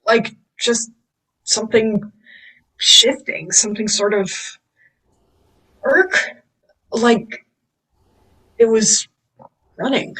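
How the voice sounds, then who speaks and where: A woman playing a nervous, awestruck young male mechanic trainee in a drama table read, hesitant and halting. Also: a little high, a bit bright, breathy edge, full character voice, low-quality recording. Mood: nervous, shaken. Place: online video call table read.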